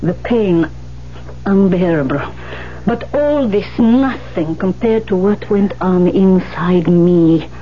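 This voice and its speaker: An actress in a 1970s radio drama playing a weary, wry older pianist who survived the camps, speaking in solemn, deliberate phrases. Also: female voice, fairly low pitch. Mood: grave, sorrowful. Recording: muffled, noisy 1970s radio recording.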